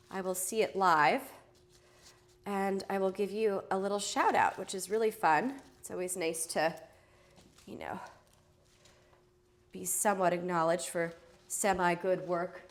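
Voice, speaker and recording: A woman hosting a live shopping stream, chatting casually while unboxing, her voice nasal and stuffy from a head cold, joking and teasing herself as she goes. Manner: chatty, jokey, self-teasing, lively swings in pitch and loudness.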